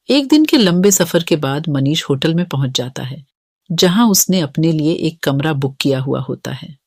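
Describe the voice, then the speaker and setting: Female narrator for children's moral stories: expressive melody, scripted delivery, dry close recording. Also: sits low, no echo.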